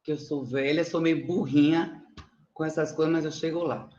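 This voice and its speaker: A woman's voice: low voice, steady tone, normal speed, mellow. A middle-aged woman from Salvador with a Bahian Brazilian accent gives an informal online presentation as a teacher, chatty and self-deprecating, often checking with her listeners. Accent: Bahian (Salvador) Brazilian Portuguese. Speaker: mathematics teacher presenting research. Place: indoors, on an online conferencing platform. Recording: muffled, roomy online call audio.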